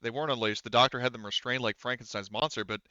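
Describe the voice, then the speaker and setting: A man plays a dazed woman botanist in a tabletop horror game. She is shaken and halting, in a smooth, mid-pitched voice with dry sound. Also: fairly even intonation, pushed and bright, no echo, highs cut off, lightly acted. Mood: dazed, grim.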